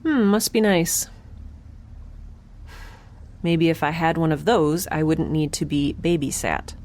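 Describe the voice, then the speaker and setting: A woman's voice: deep voice, slow, a little mellow. A woman playing a wry, stubborn young scientist in a space audio drama, with a dry, sardonic and precise way of talking. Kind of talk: audio drama acting, first-person log narration.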